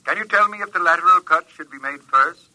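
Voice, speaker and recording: A man's voice: fairly high pitch, fast talker, no room sound, low-quality recording. An actor playing the recorded telephone voice of a courteous male doctor, chatting obliviously through a phone filter in a radio drama. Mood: polite, chatty. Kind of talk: old-time radio drama acting. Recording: thin, sharp telephone sound.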